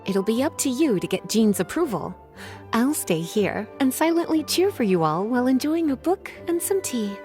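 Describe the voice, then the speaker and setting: Languid adult librarian, slightly high voice, animated intonation, teasing, knowing, scripted game storytelling. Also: female voice, normal speed, music in the background. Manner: teasing, poised, formal wording.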